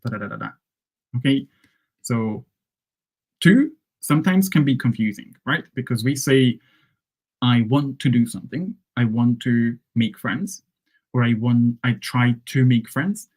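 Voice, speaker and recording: A man whose first language is Hungarian coaches English learners in a loose, conversational way. He explains phrases step by step and checks in often with "right?" and "okay?". His voice sits in an ordinary middle pitch. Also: animated, unhurried, a little mellow. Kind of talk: live-streamed English lesson.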